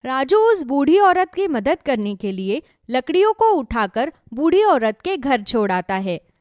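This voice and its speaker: Lively, high-pitched woman storyteller. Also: unhurried, dry recording, clean studio sound. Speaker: narrator. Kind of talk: scripted children's story narration.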